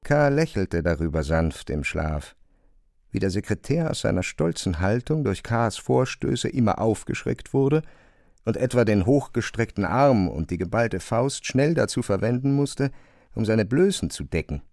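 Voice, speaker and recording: A man narrating a literary radio drama from a script in a measured storytelling style. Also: on the low side, expressive, gravelly, darkish tone.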